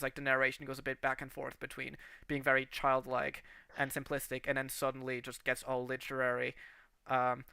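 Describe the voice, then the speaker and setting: A man from Portugal who learned English later talks through his writing plans. He rambles and restarts often. His voice sounds smooth, and the close mic keeps it dry. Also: mid-range voice, no room sound. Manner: animated, rambling with many restarts and fillers. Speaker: series creator and writer. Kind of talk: casual livestream chat.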